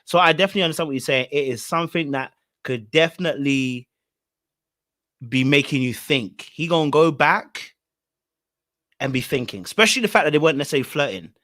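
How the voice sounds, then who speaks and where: A man's voice: fairly high pitch, expressive, brisk, a bit bright, no room sound, clean studio sound. A young man from Ghana hosting a casual podcast about a reality dating show, chatty and laid-back, laughing easily. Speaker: podcast host.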